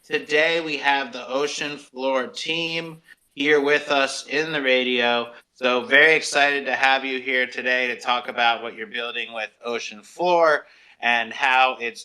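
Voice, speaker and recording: A man speaks as the host of an interview show. His voice is strikingly bright and forceful, sitting at a medium pitch. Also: poor audio. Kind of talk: interview hosting.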